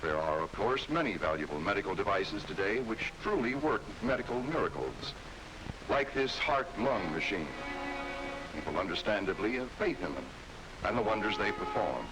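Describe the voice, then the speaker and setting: Deep American narrator, vintage educational film. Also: male voice, very animated, moderate pace. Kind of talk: scripted 1960s documentary narration. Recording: hissy, noisy 1960s film soundtrack.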